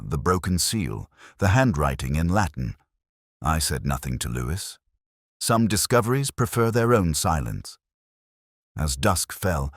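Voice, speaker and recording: A man narrating a detective mystery in the first person, with a measured, brooding, literary delivery. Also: low-pitched, no echo, clean studio sound.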